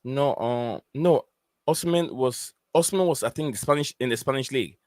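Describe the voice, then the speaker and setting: A man's voice: mid-range voice, fairly quick, a little mellow, no room sound, clean studio sound. A man hosting a casual football live stream, hesitant and full of fillers as he reads out viewer comments. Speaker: host of a football podcast stream.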